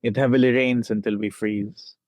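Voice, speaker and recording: A young Filipino man with a Filipino accent, a spoken-word poet reciting his poems from memory with rhythmic phrasing and dramatic pauses. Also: medium pitch, fairly even intonation, a little mellow, dry recording. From Philippines.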